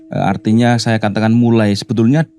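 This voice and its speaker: A man with a Javanese Indonesian accent and a low, gravelly voice speaks as a radio studio guest. He builds his points slowly, pausing often on fillers. Music plays clearly underneath him. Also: level tone, mellow. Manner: thoughtful, halting, many filler pauses. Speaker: guest speaker on language and culture. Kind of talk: casual interview chat.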